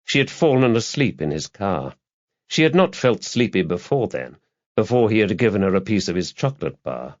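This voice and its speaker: A man's voice: on the low side, sing-song, moderate pace, no room sound. A man reading a crime thriller novel aloud as a scripted audiobook narration. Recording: dull, lo-fi, dry audiobook recording.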